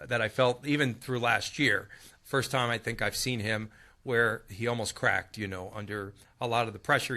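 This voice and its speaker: A man's voice: mid-range voice, bright, forceful tone. A man with an American accent hosting a sports show, reading out scores and news and putting questions to a panel.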